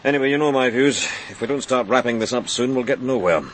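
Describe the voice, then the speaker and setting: An actor playing an impatient senior police detective in a radio crime drama, with a brisk, authoritative, dry manner. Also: male voice, medium pitch, slight background noise, lightly acted. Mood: weary, businesslike. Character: impatient senior police detective, male, running a murder investigation.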